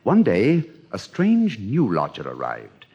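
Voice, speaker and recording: Deep, husky male storyteller. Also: wildly swooping pitch, slow pace, a little mellow. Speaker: narrator. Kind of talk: dramatic scripted storytelling. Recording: slightly dull old record.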